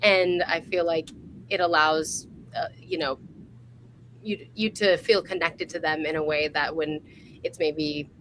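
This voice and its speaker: A woman with a fairly low voice hosts a casual interview. Her delivery is chatty, informal and appreciative. There is some noise and low rumble behind her. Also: poor audio. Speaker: host.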